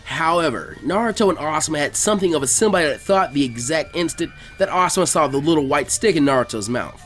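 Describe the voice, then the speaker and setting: A man reading a scripted story aloud as a narrator, in an animated way. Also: on the high side, quick pace, soft background music. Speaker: fan fiction reader.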